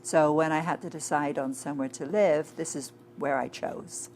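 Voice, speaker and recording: Low-voiced woman as a guest. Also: steady tone, normal speed, a little mellow, a little hiss or hum. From England. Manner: conversational, many fillers. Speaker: interview guest. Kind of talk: casual interview answers. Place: inside a flying saucer-themed shop.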